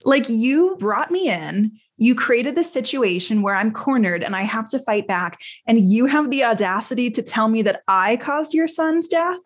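A woman's voice: fairly high pitch, expressive, moderate pace, hardly any pauses. A woman podcast panelist discussing a TV show in an emphatic, opinionated way.

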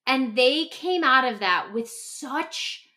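A woman hosting a podcast as a coach, talking casually and emphatically in an animated, informal way. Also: high-pitched, takes it slowly. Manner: animated, emphatic, acts out imagined quotes; informal, peppered with "like". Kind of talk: casual conversational podcast talk.

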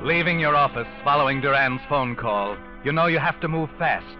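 Casting: man as insinuating vintage radio suspense narrator, fairly high male voice, dramatic music behind, dulled old recording. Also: moderate pace, muffled recording, natural voice. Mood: knowing, ominous. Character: knowing, ominous male second-person radio narrator.

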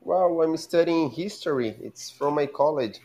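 A man's voice: on the high side, unhurried, warm tone. A young man from Brazil joining a live call as a guest, speaking careful, hesitant second-language English. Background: music playing.

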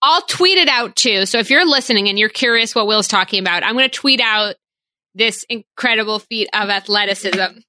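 A woman in her twenties co-hosting a podcast, bubbly and laughing a lot in quick bursts of talk. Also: medium pitch, pushed and bright, no echo. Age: 20s. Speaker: podcast co-host. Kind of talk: casual chat.

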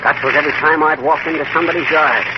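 A man with a boastful, folksy manner and rapid tongue-twisting patter, performing in a 1940s radio comedy. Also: on the high side, fairly even intonation. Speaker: comedy show lead. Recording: muffled old radio recording with loud hiss.

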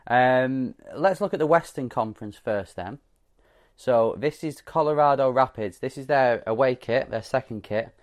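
Young British male podcast host, mid-pitched, chatty, umming, dry close-mic sound. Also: moderate pace, no room sound. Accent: British English. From Britain. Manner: chatty, hesitant with many ums and restarts. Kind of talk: casual football podcast chat.

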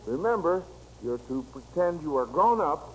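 High-pitched folksy American radio host, male. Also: sing-song, moderate pace. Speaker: host of a children's radio show. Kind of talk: unscripted old-time radio hosting. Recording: faintly noisy vintage radio recording.

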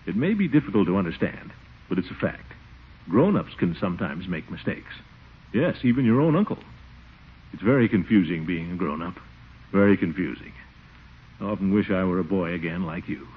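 Fond blustery uncle, lowish voice that swings around a lot, hesitant, old noisy radio show. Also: male voice, lively intonation, brisk, breathy edge. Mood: reflective, affectionate. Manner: hesitant, avuncular, theatrical. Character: fond, blustery middle-aged uncle, gently sheepish. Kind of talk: 1940s radio comedy acting. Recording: noisy muffled 1940s radio broadcast.

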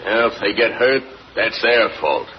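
A gruff, hostile farmer who snaps short threats at everyone, heard in a vintage radio play. The recording is dull and lo-fi, with a faint hiss underneath. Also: male voice, lowish voice, animated, unhurried. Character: surly hostile middle-aged sheep farmer. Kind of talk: 1940s radio acting.

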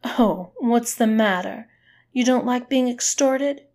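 A woman's voice: mid-range voice, takes it slowly, vocal fry. A woman voicing a domineering, sneering dominatrix in an audiobook story, commanding and taunting. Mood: mocking.

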